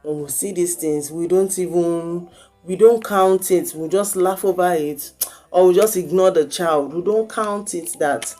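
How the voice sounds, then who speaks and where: A woman's voice: fairly low pitch, measured pace, dark tone, soft background music. A woman from Lagos with a Nigerian accent, giving informal parenting advice in a conversational way, posing questions and answering them herself. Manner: conversational, asks and answers own rhetorical questions. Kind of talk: informal advice talk with anecdotes.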